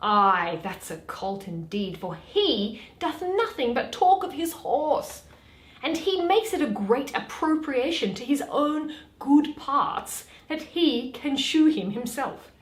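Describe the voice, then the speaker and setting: A woman performs a young noblewoman of classical theatre, witty and weary, with a mocking edge. The voice is high and swoops expressively through slow, deliberate phrases in an echoey room. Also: very animated, heavily acted. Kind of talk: theatrical Shakespeare reading.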